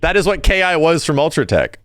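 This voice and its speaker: A man's voice: fairly high pitch, sing-song, fast talker, fairly bright tone, dry recording. A man co-hosting a podcast, chatting casually and enthusiastically with some swearing. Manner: enthusiastic, profane. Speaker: podcast co-host. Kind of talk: casual chat about fighting game films.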